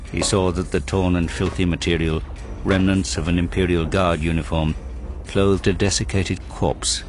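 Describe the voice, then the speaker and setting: A man reading scripted dramatic narration for an audio drama, grave and measured. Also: sits low.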